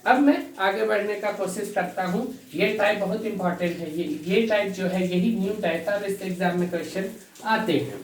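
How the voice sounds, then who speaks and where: A man teaches grammar in a slow, measured way, dictating example sentences word by word and circling back to repeat key phrases. His voice sounds roomy and reflective, as if bouncing off bare walls. It comes from well away from the mic, with a faint hiss underneath. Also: high-pitched. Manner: addresses the class as dear students. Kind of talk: bilingual grammar lesson. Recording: echoey room, distant mic, faint hiss.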